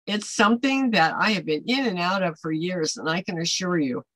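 An older American woman speaks in a fairly low voice with very little room sound. She teaches scripture informally as a Bible study host. Her delivery is conversational and emphatic. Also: moderate pace, a bit bright, dry recording, low-quality recording. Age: older, 60s or above. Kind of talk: informal devotional teaching to camera. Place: small home office, indoors.

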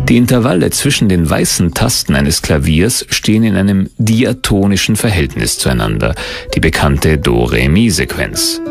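A man's voice: low voice, creaky. A man reading scripted narration as the narrator of a documentary.

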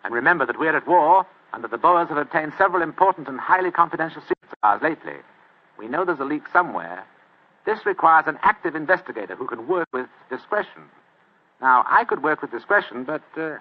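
A languid British mastermind, dry and amused, in a slightly raised voice. The sound is thin and tinny, like an old radio broadcast. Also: male voice, fairly high pitch, lively intonation, fast talker. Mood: wry. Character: wry idle older male mastermind brother.

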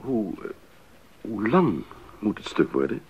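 A male actor playing a respectful, devoted poet in a radio play, speaking in a deferential, measured way. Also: on the low side, very animated, husky, muffled recording, exaggerated voice. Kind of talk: 1980s radio play acting. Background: music.

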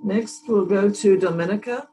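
A woman in her thirties hosting a training workshop over a video call, warmly introducing each participant in turn. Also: medium pitch, moderate pace, a little hiss or hum. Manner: friendly, thanks each speaker. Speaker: workshop host.

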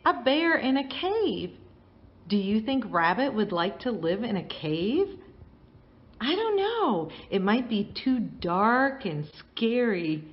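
Animated high-voiced woman, children's story host. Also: slow pace, highs cut off. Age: middle-aged or older. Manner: expressive, questioning, child-directed. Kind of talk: storybook reading and chatting to children.

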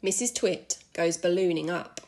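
A woman reading a children's story aloud in an expressive narrating style. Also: lowish voice, normal speed. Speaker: school staff member reading aloud.

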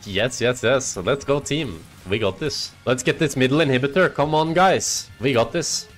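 A man's voice: medium pitch, normal speed, music in the background, voice pushed up, natural voice. A man putting on a thick Norwegian accent, playing an overly upbeat teammate who cheers his squad on.

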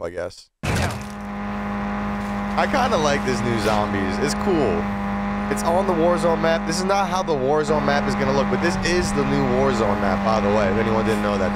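A young man streaming from his desk, close on the mic, with a middling pitch. He explains the game in casual slang and repeats his points, while clear game noise and gunfire run behind him. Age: young adult. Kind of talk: casual gameplay commentary and tutorial. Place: small streaming room at a desk. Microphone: close desk microphone. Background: video game gunfire and ambience.

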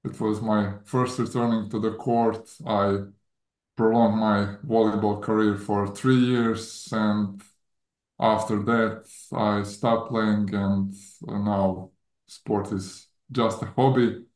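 Croatian man, 30s, unhurried and halting, roomy video-call sound. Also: on the low side, level tone, a little mellow. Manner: hesitant, many fillers, non-native grammar. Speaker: guest. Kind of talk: casual interview. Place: home room with plants.

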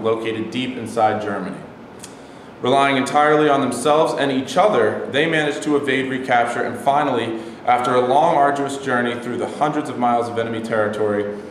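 A young actor as a formal brigadier general delivers a measured, declamatory ceremonial speech. The pitch is average for a man. The room has a long, heavy echo. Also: lightly acted. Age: young adult. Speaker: actor in a staged reading. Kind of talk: ceremonial speech in a play reading. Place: bare indoor room, standing. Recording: very echoey hall, faint noise.

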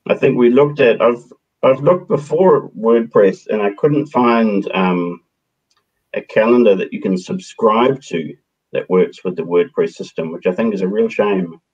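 Deep-voiced husky male call host, 30s, relaxed; dull headset audio. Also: a little slow. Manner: relaxed, chatty. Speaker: meeting host. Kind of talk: casual video call discussion. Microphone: headset on video call.

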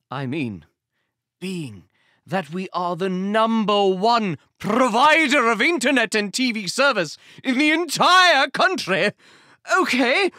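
A man playing a pushy, pleading call-centre agent as a mock-Shakespearean dramatic reading, theatrical and drawn-out. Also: extremely high pitch, pushed and bright, no room sound, studio quality, full character voice. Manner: melodramatic, halting, drawn-out delivery.

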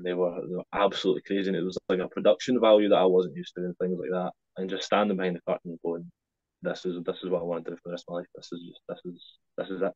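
A man's voice: sits low, darkish tone, breathy edge, no room sound. A nineteen-year-old man, answering interview questions hesitantly with many ums, on a video call from a small room at home. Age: young adult, 19. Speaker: wrestler, guest. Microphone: webcam on a video call. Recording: slightly dull lo-fi call audio.

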